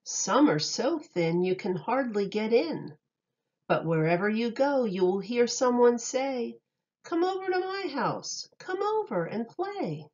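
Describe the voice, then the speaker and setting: A woman reading a rhyming picture book aloud in an expressive, sing-song way meant for young children. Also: a little high, measured pace, dull audio.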